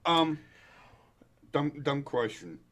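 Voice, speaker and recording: A man's voice: fairly high pitch, animated, slow, fairly bright tone. A man making casual, dry jokes as a commentator on a story being read. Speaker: book club reader and commentator.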